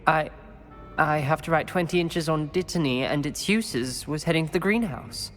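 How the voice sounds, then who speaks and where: A man's voice: a little high, normal speed, a bit bright, close to an everyday voice. A male actor plays a courteous schoolboy wizard in a video game. He sounds uneasy and deferential. The voice carries long reverb, with faint music underneath. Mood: uneasy, polite. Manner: deferential, hesitant. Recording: long echoing hall reverb.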